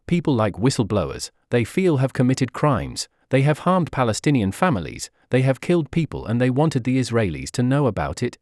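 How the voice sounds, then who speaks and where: A man reads a written news article aloud at a measured, steady pace. His pitch rises and falls in an animated way, and the recording is dry, with no room sound. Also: no echo. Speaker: article narrator.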